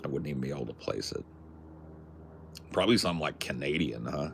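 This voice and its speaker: Very deep gravelly Californian guy. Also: animated, fast, a little hiss or hum. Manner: rambling, jokey, crude. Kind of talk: casual chat.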